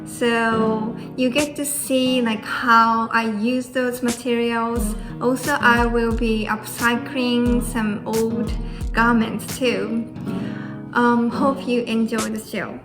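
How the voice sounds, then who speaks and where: A woman from Japan speaks slowly and carefully as she introduces her channel. Her voice sits a little high. Background music plays under her. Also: flat delivery. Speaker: host, pattern maker. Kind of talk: scripted intro to camera.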